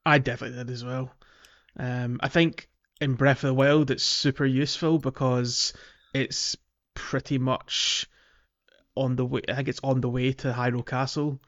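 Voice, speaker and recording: A man talks casually as a podcast co-host about a video game. His voice sits at a middle pitch, and the recording is a little dull and lo-fi. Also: flat delivery, moderate pace, a bit bright.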